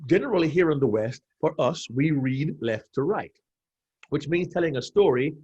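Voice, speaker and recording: A man's voice: a little high, animated, normal speed, darkish tone, breathy edge, lo-fi sound. A man in his fifties from Jamaica, coaching on public speaking as a podcast guest, emphatic and given to repeating his key phrases. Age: 50s. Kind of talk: animated coaching explanation.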